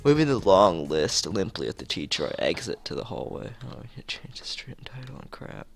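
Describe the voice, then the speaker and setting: A man's voice: low voice, big pitch swings, brisk, vocal fry, faint music behind. A man reading visual novel text aloud as a streamer, sometimes stumbling and rereading phrases.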